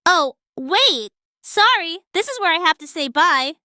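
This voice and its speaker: A woman's voice: extremely high pitch, big pitch swings, unhurried, bright, forceful tone, no room sound, studio quality, full character voice. A woman voicing a chatty, self-assured schoolgirl in a manga dub. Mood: bubbly, matter-of-fact.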